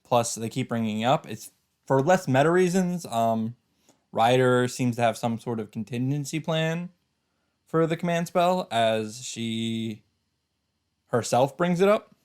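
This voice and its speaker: A man giving casual let's play commentary and reading visual novel narration aloud in a halting, rambling way. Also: mid-range voice, darkish tone, clean tone.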